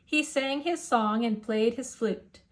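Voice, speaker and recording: A woman's voice: a little high, takes it slowly. A woman narrating a children's picture book aloud with a rhythmic, rhyming delivery. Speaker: storyteller.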